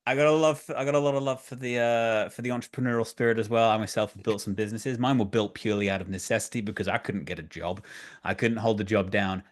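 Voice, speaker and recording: A man's voice: fairly low pitch, fairly even intonation, quick pace. A man moderating an online conference Q&A in a loose, upbeat, jokey way. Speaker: session moderator. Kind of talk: casual moderating.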